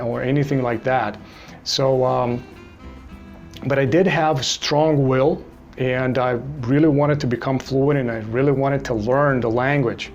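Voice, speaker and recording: A man in his forties from Brazil talks in English with a Brazilian Portuguese accent, in a mid-pitched voice. He tells his story in a loose, relaxed way, with frequent "you know"s, like a teacher chatting with students. Soft music plays faintly behind him. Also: highs cut off. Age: 40s. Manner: relaxed, many fillers like "you know" and "you see". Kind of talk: personal story to camera.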